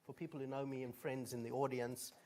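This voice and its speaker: A South African man speaking from a conference stage in a direct, plain-spoken way. His pitch sits in an ordinary middle range, and he often stops on an um or uh before going on. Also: steady tone, brisk, warm tone. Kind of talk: opening remarks at a conference.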